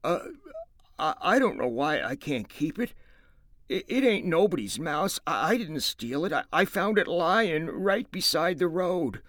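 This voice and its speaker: A man's voice: on the high side, lively intonation, slow pace, hardly any pauses, dry recording, clean studio sound, voice pushed up. A man voicing a big, simple-minded, childlike man who stammers and pleads. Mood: pleading.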